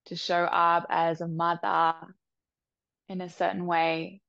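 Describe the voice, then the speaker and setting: A woman leading a guided meditation with soft, soothing instructions. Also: lowish voice, flat delivery, creaky, no echo, muffled recording. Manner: soft, slow, soothing instructions with long pauses.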